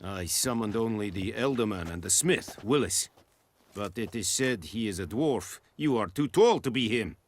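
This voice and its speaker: An actor playing a stern foreign army commander in a fantasy game, speaking formally in measured, clipped phrases. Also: male voice, on the low side, expressive, a little hiss or hum, natural voice. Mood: guarded, formal. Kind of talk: video game acting.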